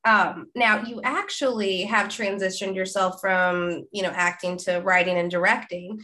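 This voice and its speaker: Bright-toned chatty young woman host. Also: mid-range voice, fairly even intonation, a little slow. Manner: chatty, with "like" and laughs. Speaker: podcast host. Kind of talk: casual interview chat.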